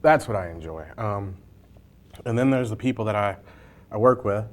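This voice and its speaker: A man's voice: fairly low pitch, animated, moderate pace, slight background noise. A man hosting a casual, jokey interview with informal, colloquial phrasing. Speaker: interview host, library information desk worker. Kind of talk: casual chat during a hot sauce challenge interview.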